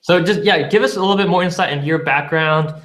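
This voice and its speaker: A man's voice: a little high, quick pace, a bit bright. A young man hosting a podcast, easygoing and laid-back in casual interview talk. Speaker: podcast host.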